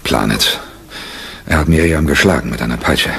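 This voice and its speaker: An actor playing an embittered, haunted and defiant soldier turned theatre leader in a radio play. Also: male voice, extremely low pitch, moderate pace, creaky, noisy background. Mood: urgent, alarmed. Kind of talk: German radio play acting.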